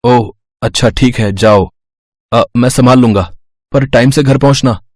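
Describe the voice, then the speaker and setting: A man plays a caring, earnest schoolboy friend in an audio drama. His manner is subdued and gently cautioning. The sound is dry, without room echo. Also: medium pitch, measured pace, close to an everyday voice. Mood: resigned. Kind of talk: dramatized Hindi moral story acting.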